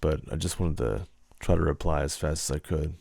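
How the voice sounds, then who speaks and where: A man talks through a how-to in a casual, explanatory way. His voice is very deep and mellow with a lot of fry, recorded dry and close. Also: fairly quick, no room sound. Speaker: video creator giving a tutorial.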